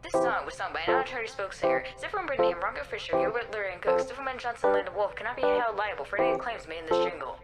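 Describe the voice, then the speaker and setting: Female actor as rapid-fire disclaimer reader, heavy echo, loud music, commercial style. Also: mid-range voice, expressive, close to an everyday voice. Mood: brisk, flat. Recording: very echoey, over loud guitar music.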